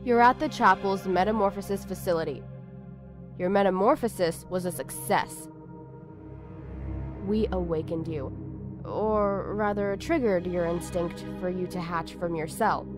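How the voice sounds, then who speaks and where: A woman voicing a calm, formal, protective android caretaker in a sci-fi game, with measured, instructive phrasing. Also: lowish voice, lightly acted. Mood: composed. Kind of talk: sci-fi visual novel voice acting. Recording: music behind the voice. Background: background music.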